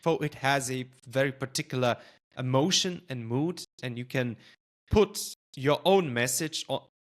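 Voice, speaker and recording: A man whose first language is Lithuanian hosts a casual podcast interview at a middle pitch. He talks thoughtfully and pauses often with filler sounds while he forms his questions. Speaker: podcast host.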